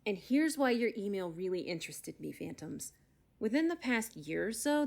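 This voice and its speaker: An actress playing a frustrated, incredulous middle-aged zoo doctor, telling her story emphatically with dramatic pauses in a podcast-style audio drama. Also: lowish voice, vocal fry. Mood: exasperated. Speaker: expert interviewee.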